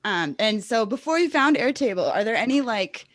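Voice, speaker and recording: A woman's voice: fairly high pitch, sing-song, moderate pace, dry recording, clean studio sound. A woman hosting a casual podcast interview, informal and encouraging in the way she talks.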